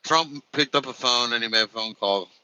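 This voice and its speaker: A man in his late thirties co-hosting a casual chat, laid-back and unhurried. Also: medium pitch, sharp tone, slight background noise, rough recording. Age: 30s. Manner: slow, laid-back, says "bro". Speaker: co-host.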